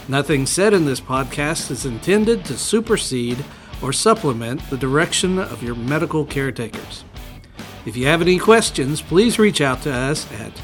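A man's voice: a little high, fairly bright tone. A man reading a formal, scripted podcast intro and disclaimer. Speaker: podcast announcer.

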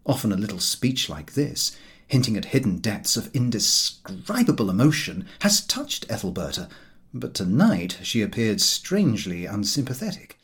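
Male audiobook reader, expressive literary narration, comic storytelling, highly varied intonation, husky medium-pitched voice. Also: normal speed.

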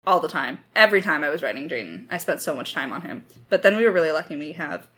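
A woman's voice: deep voice, expressive, quick pace, sharp tone, husky. A woman chatting casually in a creator Q&A, effusive and playfully dramatic, rambling along in slangy speech. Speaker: lead writer and voice actor of an audio drama.

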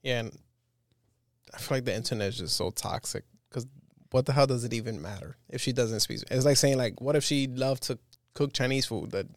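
A man from Venezuela co-hosting a casual podcast. He speaks in a relaxed way and his remarks are short and blunt. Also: medium pitch, a little fast, dry recording. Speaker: podcast co-host.

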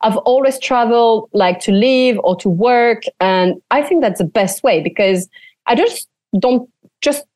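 A woman's voice: a little high, a little slow, no room sound. A young woman from France with a French accent, chatting animatedly as an interview guest and often saying "like". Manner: animated, frequent "like" fillers, laughs often. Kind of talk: casual interview chat.

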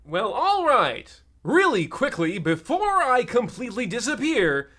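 Male actor as self-promoting mole royal, polite and chirpy, very high, lively intonation, radio spoof. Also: unhurried, full character voice. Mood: politely intrusive. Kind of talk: hobby radio-play parody acting.

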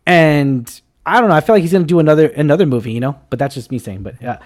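Male host, twenties, highish voice, excitable and rambling, slangy casual chat-show delivery. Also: fast. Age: 20s. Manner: excitable, rambling, repeats himself, slangy with "dude" and "man". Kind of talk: casual panel chat on film news.

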